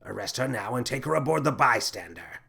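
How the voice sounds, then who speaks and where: A man voicing a lanky, pompous male space captain who whines and shouts melodramatically. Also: mid-range voice, lively intonation, fairly quick, creaky, slightly rough, exaggerated voice. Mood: dismissive.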